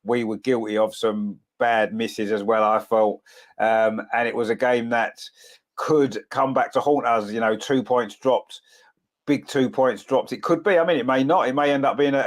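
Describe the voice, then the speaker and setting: A man with a cold, sounding croaky as he hosts a casual football chat show, full of "of course" and tag questions. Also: mid-range voice. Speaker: podcast host.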